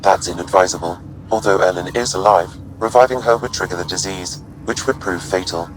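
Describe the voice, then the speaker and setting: A man plays a loyal, logical artificial intelligence in a sci-fi video game. The voice is deep, measured and formal, every phrase precise and calm. Faint noise sits in the background. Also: natural voice. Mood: measured, cautioning. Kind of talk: scripted game dialogue.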